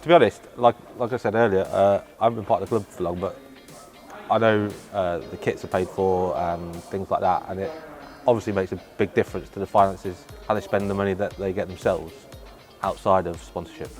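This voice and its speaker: A man's voice: sits low, steady tone, brisk, darkish tone, faint music behind. A man speaking casually in an interview as an athlete. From Horncastle, Lincolnshire, England. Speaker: athlete, wheelchair rugby player.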